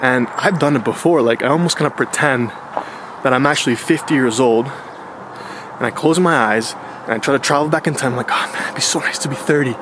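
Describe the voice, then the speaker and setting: A man in his thirties talks his thoughts through in a loose, conversational way, dropping slangy asides as he goes. His voice sits at an ordinary middle pitch. Steady street traffic hums clearly behind him. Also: brisk. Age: 30s. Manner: relaxed and chatty, with slangy asides. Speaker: vlogger. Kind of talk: casual reflective monologue.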